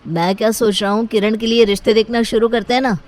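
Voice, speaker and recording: A woman's voice: fairly high pitch, fairly even intonation, fast, a little mellow, close to an everyday voice. A woman playing an outspoken, assertive married son of the household in a cartoon family story. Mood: persuasive. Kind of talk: Hindi moral-story cartoon dialogue.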